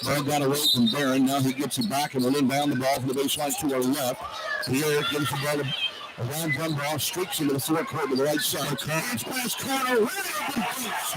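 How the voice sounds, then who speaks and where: Higher-pitched man calling basketball over loud noise. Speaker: play-by-play announcer. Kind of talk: live radio basketball commentary. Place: basketball arena, courtside. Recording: very noisy arena broadcast. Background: loud arena noise.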